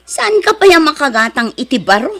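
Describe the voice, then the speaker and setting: A woman's voice: high voice, big pitch swings, brisk, fairly bright tone, full character voice. An actress playing a snobbish married woman in an Ilocano drama, with a taunting, exaggerated way of talking. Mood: mocking. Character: snobbish, mocking married woman who belittles poorer neighbours. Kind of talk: Ilocano radio drama acting.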